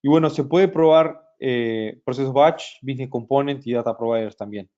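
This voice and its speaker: Guy doing a software webinar, mellow voice, lots of uhs, kinda dull lo-fi audio. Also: medium pitch. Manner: hesitant, many fillers and restarts, speaks slowly in Spanish for a Brazilian audience. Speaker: software product presenter. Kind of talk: webinar product demo.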